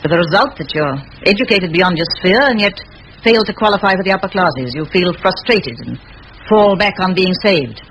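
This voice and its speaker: An actress playing a determined, bossy middle-aged spinster schoolteacher in an old radio play, forthright and given to rhetoric. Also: female voice, on the low side, lively intonation, normal speed, fairly bright tone, slight background noise, poor audio. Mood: blunt, candid.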